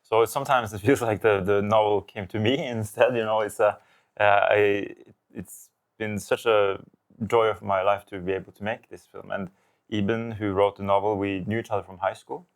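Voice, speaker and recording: Norwegian guy in his 30s, film director, lowish voice, talks it through slow with lots of uhs. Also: level tone. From Norway. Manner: thoughtful, many fillers and restarts. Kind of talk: interview answers.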